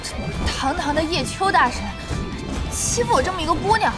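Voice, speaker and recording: An actress in a Chinese audio drama playing a brash young woman who leads a gaming squad, demanding and excitable. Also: very high-pitched, brisk, over music. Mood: aggrieved.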